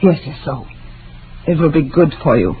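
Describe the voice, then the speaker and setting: A woman's voice: sits low, animated, moderate pace. Stern, curt woman, tinny radio. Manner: curt, clipped, scolding. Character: stern, controlling, secretive middle-aged sister. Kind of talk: old-time radio drama acting. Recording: tinny, noisy old radio recording.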